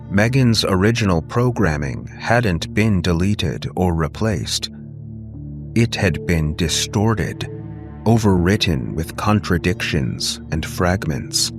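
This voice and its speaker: A man reading a scripted story as a dramatic audiobook narrator, deliberate and suspenseful. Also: low voice, expressive, music in the background.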